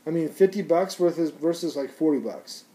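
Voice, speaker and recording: Man, slightly high pitch, soft mellow tone, slangy, conversational, rambling product reviewer. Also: normal speed, poor audio. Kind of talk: casual unscripted product review.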